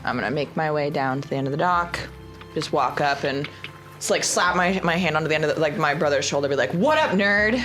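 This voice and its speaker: A woman's voice: low voice, fairly quick, fairly bright tone, faint music behind. A woman bantering casually and playfully as a player in a tabletop role-playing game.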